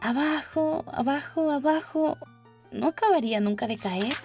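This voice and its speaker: A woman's voice: high voice, a little slow, darkish tone, soft background music, pitched above the performer's own voice. A woman voicing a curious, dreamy young girl who chatters and muses to herself in a storybook. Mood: musing. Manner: musing aloud, questioning, wordy. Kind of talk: storybook character voice.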